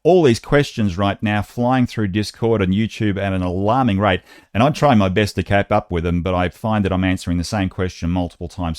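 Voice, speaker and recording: A man's voice: on the low side, dry recording, clean studio sound. A man with an Australian accent hosting an informal explanatory online video, talking conversationally and straight to his viewers. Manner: conversational, addresses viewers directly. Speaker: YouTube channel host and presenter.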